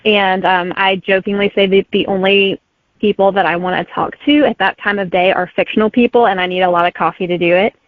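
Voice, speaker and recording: A woman author as a guest, speaking in a relaxed, friendly way and laughing easily. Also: mid-range voice, brisk, dry recording. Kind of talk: casual interview chat. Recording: dry, tinny phone-like line.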